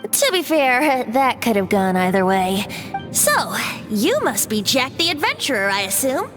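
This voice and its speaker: Playful breezy youthful bard, high-pitched. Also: female voice, very animated, a little slow, over music. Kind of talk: scripted anime game voice acting.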